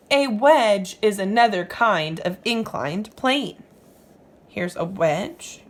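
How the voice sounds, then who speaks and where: A woman reading aloud to young children as a teacher would, explaining as she goes and putting questions to the listener. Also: a little high. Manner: instructive, asks the listener questions.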